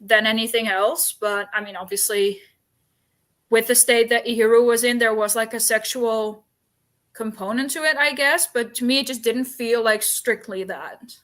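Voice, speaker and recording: Bright, higher-voiced woman chatting reflectively. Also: steady tone, normal speed. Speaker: book discussion host. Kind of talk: casual book chat.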